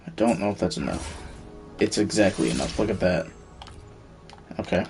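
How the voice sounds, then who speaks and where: A man's voice: low voice, expressive, moderate pace, creaky, darkish tone, faint music behind. A man streaming a video game, giving casual commentary and muttering to himself as he thinks aloud. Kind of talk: casual live gameplay commentary.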